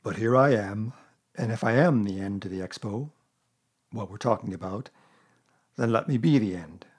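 A man's voice: lowish voice, moderate pace, breathy edge. A man voicing a mournful, put-upon, grumbling male donkey in a children's storybook. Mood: aggrieved. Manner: mournful, aggrieved.

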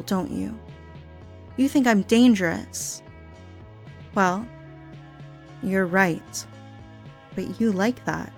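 A clingy, dangerously unstable young woman coos in a breathy, sing-song voice that sits a little high, as a woman acts her out. Her sweetness keeps sliding into menace, with faint music playing behind her. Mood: low menacing. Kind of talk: audio roleplay monologue.

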